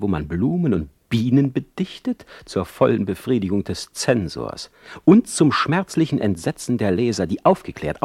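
Radio play: embattled poet in exile, lofty and vehement, widely swinging delivery, close dry sound. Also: male voice, lowish voice, vocal fry, darkish tone, husky, no echo, heavily acted. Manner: declamatory, lofty literary diction. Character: Heinrich Heine, proud, embattled exiled poet, brooding and vehement. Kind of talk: German radio play acting.

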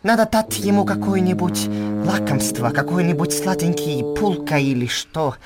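Radio play: an elephant keeper, higher voice, swooping intonation, slow and bossy, music underneath. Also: male voice, expressive. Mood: coaxing. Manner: broken, bossy Russian with German words. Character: fussy foreign elephant keeper, man, middle-aged.

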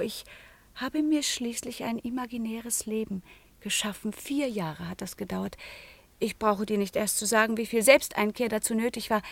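Intense proud teen convent girl, highish voice, declaiming then hushed, old-school radio drama, bone dry sound. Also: no room sound. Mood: wistful, hushed. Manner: intense, declamatory, often dropping to a hushed whisper. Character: proud, melancholy eighteen-year-old convent-schooled girl, passionate and bitter. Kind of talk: classical German radio drama acting.

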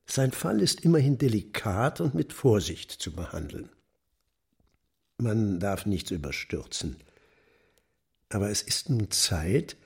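Very husky, pompous older nationalist mayor. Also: male voice, fairly low pitch, full character voice. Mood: officious caution. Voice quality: gravelly, husky. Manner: pompous, officious, declamatory. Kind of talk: German literary radio play.

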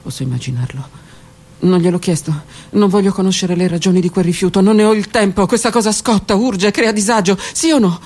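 A woman performing a dramatic first-person monologue in a deliberate, theatrical manner with weighted pauses. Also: medium pitch. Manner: theatrical, deliberate, with pauses and hushed near-whispered phrases. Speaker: theatre performer and prison drama workshop leader.

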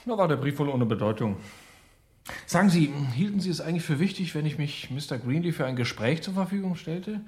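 Radio drama: young swindler, played by a man, higher-pitched, very animated, chatty, nervously jocular, feigning concern. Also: fairly quick, lightly acted. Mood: solicitous. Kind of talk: German radio play dialogue.

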